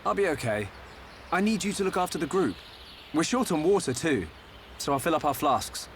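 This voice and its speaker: A man's voice: fairly high pitch, very animated, normal speed, a little hiss or hum. A man playing an earnest, determined, caring young hero in a video game cutscene.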